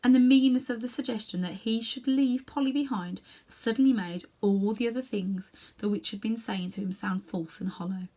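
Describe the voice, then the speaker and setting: A woman narrating a children's novel as an expressive read-aloud storyteller. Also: a little high, moderate pace, hardly any pauses, poor audio. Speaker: storybook reader.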